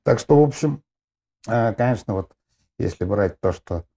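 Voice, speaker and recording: A man's voice: lowish voice, lively intonation, moderate pace, warm tone, slightly rough. A middle-aged man, a film director, talking casually in a discussion, rambling with many fillers and restarts.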